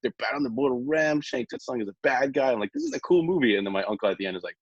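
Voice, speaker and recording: A man in his thirties chats casually on a podcast panel. His husky voice swings around in pitch, and the audio is slightly dull and lo-fi. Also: lively intonation, rapid-fire, no echo. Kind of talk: casual banter.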